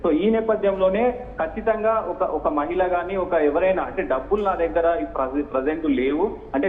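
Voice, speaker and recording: A man reporting and analysing news unscripted, in a halting, repetitive way. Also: sits high. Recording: echoey hall, muffled phone-like line.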